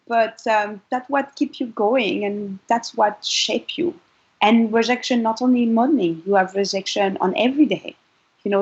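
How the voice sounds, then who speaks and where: A woman's voice: medium pitch, muffled recording. A woman from Congo, a model and charity founder, speaking earnestly in a casual interview with frequent restarts.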